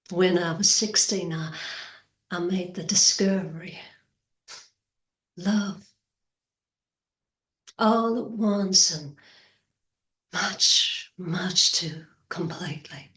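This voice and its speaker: A woman performing a stage monologue as a fragile, haunted Southern woman recalling young love, halting and drifting into whispers. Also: lowish voice, fairly bright tone. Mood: wistful, dreamy. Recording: echoey room.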